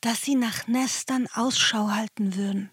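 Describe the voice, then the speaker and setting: A woman playing a prim, scandalised gossip of a wife with a breathy, husky voice in a radio play. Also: on the high side, fairly even intonation, a little slow, fairly bright tone, no room sound, studio quality.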